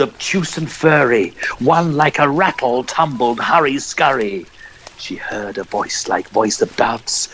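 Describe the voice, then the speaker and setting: A man narrating a dramatic poem in a theatrical, measured and expressive way. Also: mid-range voice, a little hiss or hum, low-quality recording.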